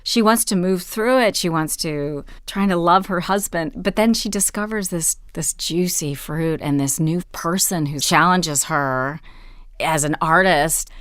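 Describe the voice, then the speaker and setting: A woman's voice: lowish voice, measured pace, fairly bright tone, dry recording, studio quality. A woman theatre guest thinking aloud in a casual panel discussion.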